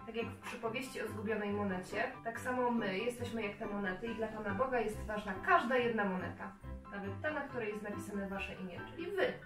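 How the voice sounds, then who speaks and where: A woman's voice: mid-range voice, fairly quick. A woman in her thirties leading a craft and game for young children, asking them simple questions directly. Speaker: children's lesson presenter. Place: child's bedroom indoors. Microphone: camera a few metres away. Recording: roomy, distant camera sound with music.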